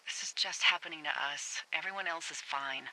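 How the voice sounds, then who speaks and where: Bright, sardonic American radio voice. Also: female voice, sits low, a little fast, rough recording. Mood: exasperated. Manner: blunt, dry, profane. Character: sardonic, anxious woman supervising over radio. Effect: two-way radio. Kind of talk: naturalistic video game dialogue. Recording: hissy radio transmission.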